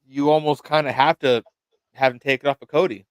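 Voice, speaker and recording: A man's voice: mid-range voice, fairly even intonation, brisk, smooth, no echo. A man hosting a casual wrestling talk stream, often stammering and restarting as he thinks aloud, chuckling at his own ideas. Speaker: wrestling show host. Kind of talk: casual livestream chat.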